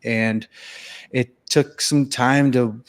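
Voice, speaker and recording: A man's voice: mid-range voice, fairly even intonation, very slow. A man born in Venezuela, a filmmaker and musician, telling his life story in a casual interview with many fillers and restarts.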